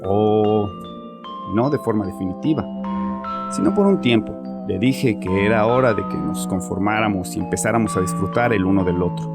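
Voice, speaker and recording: An actor playing a troubled, chauvinist bereaved father confessing in an audio horror drama, with a haunted, halting manner. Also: lowish voice, over loud music, close to an everyday voice. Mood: proud, defensive. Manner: haunted, halting, repeats himself.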